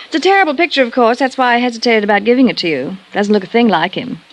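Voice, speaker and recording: An actress playing a cool, defiant wife with an American accent, guarded and politely haughty, in a 1950s radio drama. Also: female voice, a little high, animated, racing, noisy background, close to an everyday voice. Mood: guarded politeness. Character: cool, defiant wife hiding an insurance scheme.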